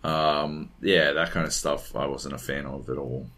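A man's voice: very deep voice, fairly even intonation, measured pace, a bit bright. A man chatting casually and bluntly as a podcast co-host, rambling and swearing as he reviews video games. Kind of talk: casual unscripted chat.

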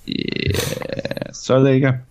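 A man with an Australian accent, co-hosting a podcast and telling a long, swear-filled story with lots of "uh" and "like". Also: slow. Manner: animated, profane storytelling with many fillers. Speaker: podcast co-host. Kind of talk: casual podcast chat.

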